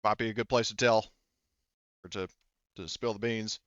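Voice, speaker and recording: A man's voice: on the low side, fairly even intonation, moderate pace, a bit bright, clean tone, dry recording, muffled recording, natural voice. A man voicing a blunt, informal male security subordinate who rambles in a roleplaying game. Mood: suggesting.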